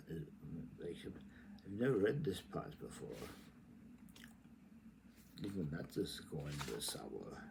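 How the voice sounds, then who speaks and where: A man with a very low voice reads a dense novel aloud in a theatrical way. His pitch swings widely and he takes his time, slipping into muttered asides. The recording is informal, with faint noise behind him. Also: vocal fry, poor audio. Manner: theatrical, declamatory when reading; rambling, muttering to himself between passages. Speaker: reader of a literary novel.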